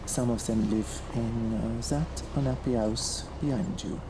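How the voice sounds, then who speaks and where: Man playing a slow, suave French villain. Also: mid-range voice, natural voice. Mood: sly menace. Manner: unhurried, silky, ominously polite. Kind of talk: audio drama acting. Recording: clear background ambient noise.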